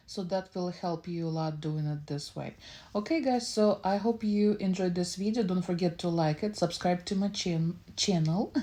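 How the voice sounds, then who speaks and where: A woman's voice: on the low side, darkish tone. A woman hosting a baking tutorial, narrating each step casually with informal, slightly non-native English phrasing. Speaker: baking channel host.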